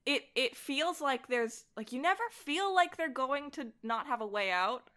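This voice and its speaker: A woman chatting casually as an interview guest, animated, giggly and self-deprecating about herself. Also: high voice, measured pace. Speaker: podcast guest, voice actor and director. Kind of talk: casual interview chat about comics and horror.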